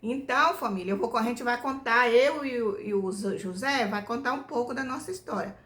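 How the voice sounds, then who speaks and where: A woman from Bahia, telling her family story to her channel in a loose, homespun way. She keeps checking in with "né?" as she goes. Her voice sits a little high, and the room around her echoes. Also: normal speed, a bit bright. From Bahia, Brazil. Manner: homespun, rambling, tags nearly every phrase with "né?". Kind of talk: casual storytelling to viewers.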